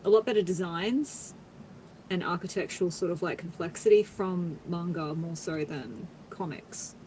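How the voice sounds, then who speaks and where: A woman's voice: on the low side, normal speed, warm tone, a little hiss or hum, rough recording. A woman, chatting casually in a blunt, teasing way. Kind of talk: casual chat.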